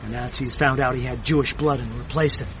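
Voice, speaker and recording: An actor playing a brisk, earnest, well-informed man in a radio drama, speaking in a matter-of-fact way. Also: medium pitch, fairly even intonation, natural voice. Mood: grave, informative. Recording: muffled lo-fi recording, noisy street ambience.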